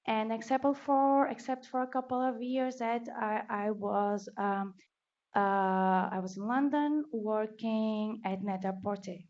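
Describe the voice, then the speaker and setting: A woman from Portugal with a Portuguese accent, giving a talk at a meetup in a careful, deliberate way with restarts. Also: a little high, steady tone, darkish tone. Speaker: meetup speaker. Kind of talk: conference talk. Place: meetup venue. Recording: dull lo-fi recording.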